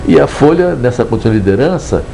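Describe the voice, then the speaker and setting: An older Brazilian man, an author speaking reflectively in a measured, digressive way with hesitant restarts. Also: mid-range voice, big pitch swings, gravelly, dark tone, a little hiss or hum, rough recording. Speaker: book author. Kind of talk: reflective interview monologue.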